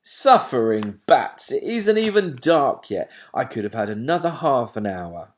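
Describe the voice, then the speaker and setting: Man playing a grumpy father barn owl, gruff, blustering, imperious. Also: on the low side, lively intonation, slow, a little mellow.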